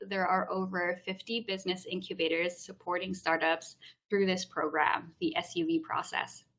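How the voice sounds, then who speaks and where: A woman in her thirties from Hong Kong presents a live info session with a bright, clear-edged voice. Her pitch sits mid-range for a woman. She explains things in a friendly way, often pausing on "um". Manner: friendly, explanatory, frequent "um" fillers.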